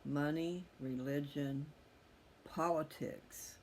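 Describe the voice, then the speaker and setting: A middle-aged man with a slightly high voice speaks in a loose, candid way, as if thinking aloud to his listeners. His talk is casual and reflective. There is noticeable background noise on the recording. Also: fairly even intonation, takes it slowly. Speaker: host of a personal video channel. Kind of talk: casual, rambling personal monologue. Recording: clearly audible background noise.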